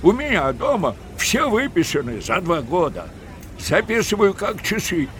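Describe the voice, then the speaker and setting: Stubborn prole, audio drama, argumentative, colloquial, slightly high voice. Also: male voice, sing-song, unhurried, a bit bright, faint music behind, heavily acted. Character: stubborn working-class man bickering over lottery numbers.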